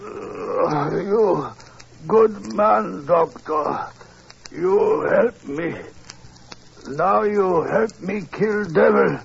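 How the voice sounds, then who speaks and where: An actor playing a weak, dying man from an opium den, gasping in halting broken English in a 1940s radio play. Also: high voice, heavily acted. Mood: weak, pleading. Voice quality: croaky, gasping. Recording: dull old 1940s radio recording.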